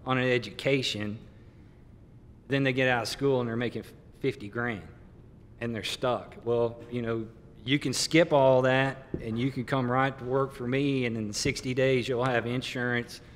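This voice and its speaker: A man's voice: fairly even intonation, normal speed. Plain-spoken man on a hall panel. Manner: emphatic, plain-spoken, repeats words. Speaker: contractor panelist. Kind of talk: panel discussion. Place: conference hall stage panel. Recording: reverberant conference hall, faint rumble.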